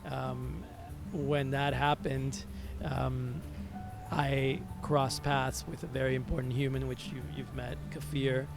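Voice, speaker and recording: A man from Venezuela, a native Spanish speaker, telling his story as an interview guest in a reflective, halting, conversational way, repeating words as he searches. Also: medium pitch, creaky. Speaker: interview guest, musician and hospitality entrepreneur. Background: music.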